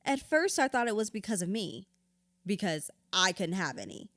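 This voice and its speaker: A woman's voice: medium pitch, big pitch swings, normal speed, a bit bright, no room sound, studio quality. An actress playing a hurt, bitter widow confiding in her therapist in an audio drama, raw, blunt and sweary. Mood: angry, anguished. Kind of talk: audio drama acting, confessional dialogue.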